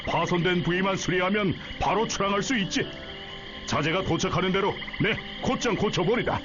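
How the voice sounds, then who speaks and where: A man's voice: high-pitched, fairly quick, highs cut off, music in the background, lightly acted. A man voicing a grateful older dockside workman in a Korean game, with old-fashioned folksy speech. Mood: grateful, hearty. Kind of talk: Korean game character voice acting.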